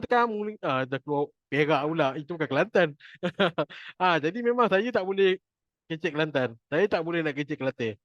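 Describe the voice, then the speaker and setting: A man's voice: on the high side, fairly bright tone, no echo, lo-fi sound. A man with a Malaysian accent teaching an informal religious class, chatty and jokey, repeating his points for emphasis. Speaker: Quran recitation teacher.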